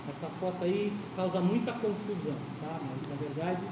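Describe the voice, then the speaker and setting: A woman's voice: lowish voice, normal speed. Brazilian woman teaching in an echoey hall. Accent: Brazilian Portuguese. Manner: explanatory, tags phrases with "né?" and "tá?". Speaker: aikido instructor. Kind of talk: informal lecture to students. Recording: echoey hall from far off, noisy.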